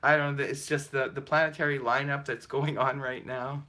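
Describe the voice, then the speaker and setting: A deep-voiced man talks through a relaxed astrology livestream, greeting viewers and laughing between thoughts. His tone is bright and forward, with plenty of ums and uhs as he reads the chat. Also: moderate pace. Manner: chatty, laughs often, many fillers. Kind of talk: casual live chat reading viewer comments.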